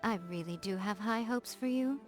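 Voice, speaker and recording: A woman playing a sly, elegant fox spirit shrine maiden in a video game, coy and self-assured, in measured teasing phrases. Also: a little high, close to an everyday voice. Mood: fond, musing. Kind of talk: English video game character voice acting. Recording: music behind the voice. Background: background music.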